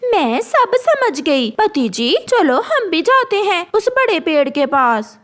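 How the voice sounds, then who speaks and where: A woman's voice: very high voice, big pitch swings, slow pace, a bit bright, full character voice. A woman voicing a greedy, suspicious female crow in a children's cartoon, with a nagging manner. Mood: sly. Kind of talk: Hindi children's cartoon voice acting.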